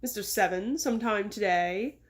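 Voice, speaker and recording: Woman putting on a pompous, bellowing English gentleman boss. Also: mid-range voice, normal speed, husky, close to an everyday voice. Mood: irate, impatient. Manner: imperious, scolding, theatrical.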